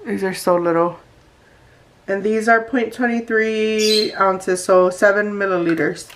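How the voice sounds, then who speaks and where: A woman's voice: medium pitch, steady tone, takes it slowly, rough recording. A woman with a congested, nasal voice from allergies, chatting casually to her viewers as she unboxes nail products. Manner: chatty, talks straight to viewers. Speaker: beauty vlogger.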